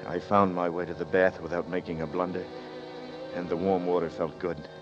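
Male actor as tired, guarded noir fugitive, low and halting; muffled vintage radio sound with music behind. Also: level tone, natural voice. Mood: weary, reflective. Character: weary, guarded man on the run, feeling his way. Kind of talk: 1940s radio drama acting, noir narration. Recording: muffled 1940s radio recording.

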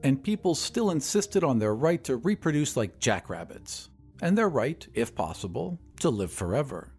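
A man's voice: mid-range voice, sing-song, normal speed, a little mellow, soft background music, pitched above the performer's own voice. A man voicing a genial, pompous, very old doctor who lectures emphatically in an audiobook story. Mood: severe, lecturing. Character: genial, pompous, very old male doctor championing population control.

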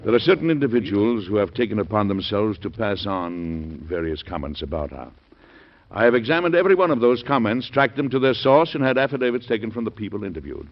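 A stern, commanding president. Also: male voice, fairly low pitch, husky, heavily acted. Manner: commanding, declamatory. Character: stern forceful male American president, middle-aged. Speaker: lead actor, also narrator. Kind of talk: 1940s radio acting. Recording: dull old radio broadcast with music.

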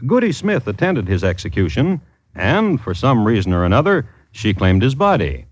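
An actor playing a wry, formal true-crime host, narrating deadpan in 1950s radio style. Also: male voice, mid-range voice, moderate pace. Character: dry, droll male true-crime storyteller hosting the show. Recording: dull old radio recording.

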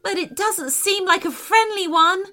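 A woman's voice: big pitch swings, a little slow, exaggerated voice. A woman voicing a sweet, polite young female unicorn in a children's story, earnest and sensitive. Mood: scared. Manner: gentle, high-pitched, earnest.

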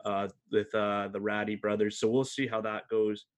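A man's voice: on the low side, little pitch movement, moderate pace. A man hosting a sports podcast in a casual, conversational style. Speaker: hockey podcast host.

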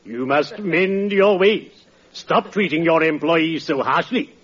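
A man's voice: a little high, expressive, slow pace, a bit bright, slightly rough, full character voice. A man playing a friendly, solemn undertaker in 1940s radio comedy, stately and formal, relishing morbid humor. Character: friendly, solemn undertaker who gleefully tells morbid puns. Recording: dull, noisy vintage radio recording, audience.

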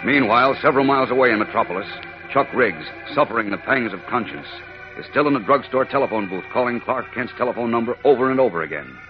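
A male narrator with a medium-pitched voice reads in dramatic 1940s radio style. Loud music plays behind him on a dull old recording. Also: animated, fast talker.

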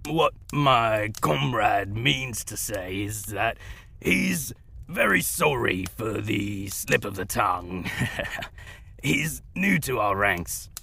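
A man playing a nervous, obsequious werewolf henchman with a raspy voice in a fantasy comedy audio drama. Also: on the low side, expressive, takes it slowly, pushed and bright, heavily acted. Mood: nervous placating. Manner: obsequious, placating. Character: fawning male werewolf henchman, nervous and smooth-talking.

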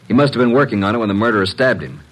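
A quick-talking, deep-voiced detective's assistant in an old American radio mystery. He is confident, brisk and matter-of-fact. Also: animated, fairly bright tone, rough recording. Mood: probing. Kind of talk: classic American radio drama acting.

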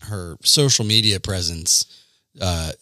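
A man co-hosting a podcast, teasing his friend and laughing through relaxed banter. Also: low-pitched, slow, no room sound, clean studio sound. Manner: laid-back, teasing, frequent laughter. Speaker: podcast co-host. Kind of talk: casual banter.

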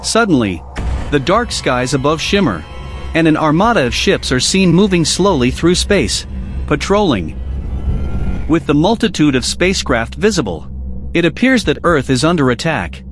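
A man's voice: a little high, sing-song, moderate pace, soft background music. A man reading scripted narration for a dramatic audio production.

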